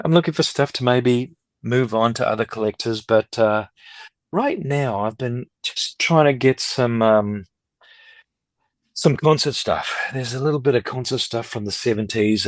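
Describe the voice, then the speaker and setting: A man in his fifties talks at length as a podcast guest, rambling from one thought to the next with an upbeat energy. His pitch sits in an ordinary middle range, and the sound is dry and close with no room around it. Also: dry recording. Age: 50s. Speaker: guest, record collector and dealer. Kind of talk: casual interview chat.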